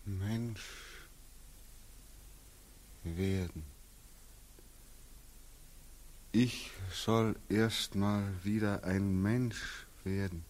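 An actor playing an embittered, anguished young returned soldier in a 1940s German radio drama, declaiming bitterly. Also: male voice, lowish voice, steady tone, very slow, long pauses, a little mellow, no room sound. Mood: stunned, bitter. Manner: anguished declamation, dragging out words.

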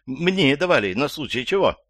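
A man's voice: mid-range voice, very animated, normal speed, fairly bright tone, no room sound, studio quality, pitched above the performer's own voice. A man voicing a frightened, frantic elderly woman in an audiobook, crying out in panic. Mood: frantic pleading. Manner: panicky, breathless rattling outcries.

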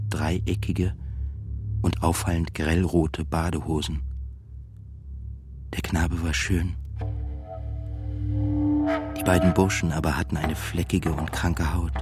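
Low-voiced gloomy composer guy, lonely and full of self doubt, radio drama monologue, music behind. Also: darkish tone. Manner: brooding, measured, literary delivery. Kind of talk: radio play interior monologue and narration.

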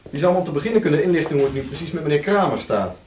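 A man playing a brash, indignant hospital nurse in a radio play. He talks fast and bluntly, confrontational, from a little way off in an echoey room. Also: a little high, animated, a little mellow, distant mic, slight background noise, close to an everyday voice. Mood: challenging. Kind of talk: radio drama acting. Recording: echoey room, away from microphone.

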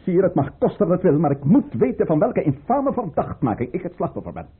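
A man playing a proud, calculating governor at court in a period radio play, formal and theatrical, with a husky voice. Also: high-pitched, big pitch swings, exaggerated voice. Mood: indignant. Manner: formal, measured, theatrically indignant or deferential. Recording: muffled, tinny old radio recording.

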